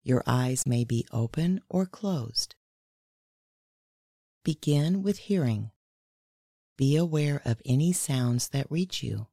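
A woman hosting and leading a guided meditation in a measured, instructional way, reading scripted narration. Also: very deep voice, animated, dark tone, no room sound, clean studio sound. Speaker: meditation guide and podcast host.

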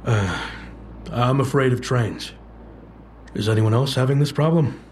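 Anxious support-group man, played by an actor, hesitant, uneasy delivery, mid-pitched, faint ambience behind. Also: a little slow, darkish tone, slight background noise, lightly acted. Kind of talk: audio drama acting.

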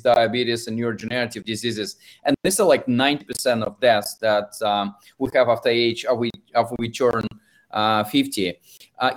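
A man from Russia with a Russian accent, answering interview questions as an investor, often restarting his phrases. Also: mid-range voice. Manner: halting, restarts phrases, hesitant fillers. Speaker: investor and author.

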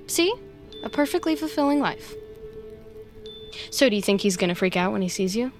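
A woman's voice: mid-range voice, animated, moderate pace, over music, natural voice. A woman playing an awkward, sardonic, self-deprecating human sidekick in an audio drama, rambling and easily flustered. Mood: curious, flustered. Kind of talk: naturalistic audio drama acting.

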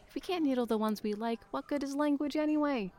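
A woman's voice: high voice, fast, darkish tone, lightly acted. A woman voicing a sardonic young barista who teases her regulars with dry wit, in an audio drama. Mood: wry. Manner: wry, playful teasing. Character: sardonic young nonbinary barista, teasing and witty.

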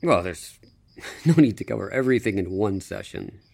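An actor playing a patient, tactfully puzzled therapist, hesitant and gently probing in a naturalistic audio drama. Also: male voice, lowish voice, animated, moderate pace, vocal fry. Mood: gently steering.

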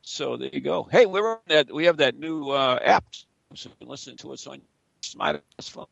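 Gravelly radio host guy, goofy, bouncy up and down, kinda muffled lo-fi sound. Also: on the high side, lively intonation, normal speed, fairly bright tone, no echo. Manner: playful, rambling with fillers. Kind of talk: jokey radio show chat.

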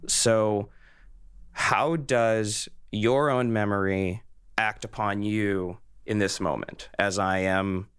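A man's voice: fairly low pitch, steady tone, slow, fairly bright tone, clear, resonant tone. A man hosting an interview podcast, speaking in an earnest, thoughtful, articulate way in reflective, personal conversation.

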